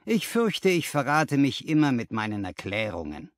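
Guy doing a confident gentleman detective in an audiobook, higher bright voice, very animated, analytical and a bit posh in manner. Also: moderate pace, dry recording, studio quality, natural voice. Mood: self-assured. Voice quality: bright, forceful. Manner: crisply analytical, genteel. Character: bright, keen, self-assured gentleman detective. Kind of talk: audiobook character reading.

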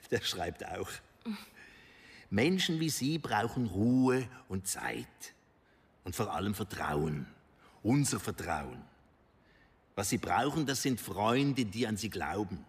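An actor playing an older banker in a radio drama, persuasive and condescending, with measured phrasing. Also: male voice, on the low side, sing-song, slightly rough, in a large hall, heavily acted. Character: smooth older male banker, persuasive, patronizing, discreet.

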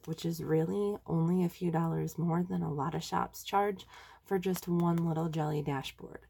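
A woman's voice: deep voice, creaky, mellow, slightly rough. A young woman with a stuffy, nasal voice from a sinus infection, chatting casually through a planner tutorial at her desk. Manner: chatty, enthusiastic, many ums. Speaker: planner video creator. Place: indoors at a desk.

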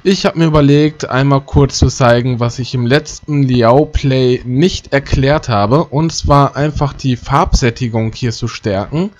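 A man's voice: mid-range voice, measured pace, hardly any pauses, a little mellow, highs cut off. A man giving casual let's play commentary, chatty and joking as he thinks aloud. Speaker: gaming YouTuber.

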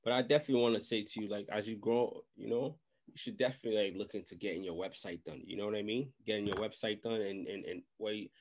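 A man's voice: on the low side, steady tone, quick pace. A young man hosting a casual interview, talking informally with frequent conversational tag phrases. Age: young adult. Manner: informal, repeats tag phrases like "you know what I mean". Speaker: podcast host and interviewer. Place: small room at home, video call. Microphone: large desk microphone close to mouth.

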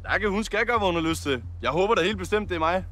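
A man's voice: sits high, expressive, sharp tone. A man with a slightly raised voice speaks candidly to camera, sounding a bit deflated. Faint music runs underneath him. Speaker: reality show contestant. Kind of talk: confessional interview.

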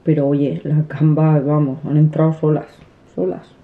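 A woman's voice: deep voice, flat delivery, normal speed. A woman in her thirties, a family vlogger, chatting casually to the camera while eating at a table at home. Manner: chatty, restarts often, soft. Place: small room at a dinner table, indoors. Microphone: camera on the table at close range. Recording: tinny, phone-like sound.

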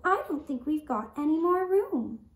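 A woman voicing a kind, hesitant little female mouse in a children's puppet story, slow and gentle. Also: sits high, lively intonation, pitched above the performer's own voice. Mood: worried. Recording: roomy echo, faint background noise.

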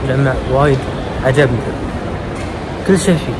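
A man's voice: mid-range voice, a little fast. A young man with a Gulf Arabic accent, chatting casually to viewers as he films a mall tour on his phone, often restarting words. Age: young adult. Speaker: travel vlogger. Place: large indoor shopping mall. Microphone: handheld phone camera. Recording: loud crowd and mall noise. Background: shoppers and mall ambience.

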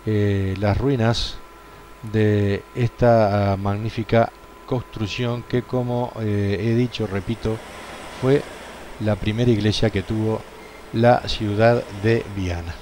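A man narrating a walking tour of old ruins, pausing often with hesitant fillers as he talks. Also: on the low side, darkish tone, slight background noise. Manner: hesitant, many "eh" fillers, slow and deliberate. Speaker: tour guide. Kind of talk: unscripted walking tour commentary. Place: outdoor church ruins.

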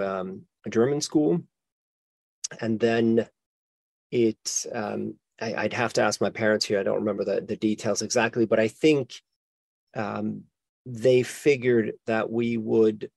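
A man's voice: fairly low pitch, gravelly, darkish tone, dry recording. A man in his forties from Sweden, a guest historian talking reflectively in an interview, with many restarts and fillers. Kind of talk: casual interview conversation.

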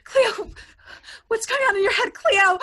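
Shrill, terrified sister. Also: female voice, a little slow, fairly bright tone. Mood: terrified, tearful. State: crying, distraught. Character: terrified, pleading grown sister. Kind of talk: horror audio drama acting.

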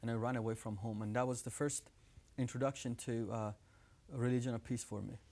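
A man's voice: medium pitch, steady tone, brisk, warm tone. Man, 30s, iranian accent, earnest testimony, lapel mic, studio. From Iran. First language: Farsi. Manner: earnest, gestures with hands while talking. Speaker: guest. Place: TV studio set with living room decor.

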